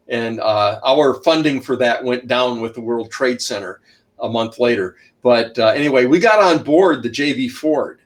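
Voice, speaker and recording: A middle-aged man, an author and shipwreck researcher, talking loudly as a guest in an online interview and packing in detail with many restarts. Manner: loud, booming voice, packs in lots of detail; rambling, frequent restarts and uh fillers.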